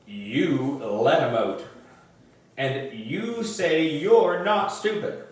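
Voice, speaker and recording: A man's voice: on the high side, drawn-out words. A man voicing a scornful, bossy sister in a children's storybook, with a scolding, snippy manner. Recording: roomy echo, distant microphone.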